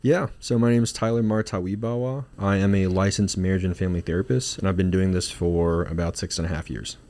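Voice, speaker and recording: A man's voice: deep voice, fairly even intonation, a little fast, darkish tone. A man from Singapore, a marriage and family therapist, talking casually in an interview with many fillers and restarts.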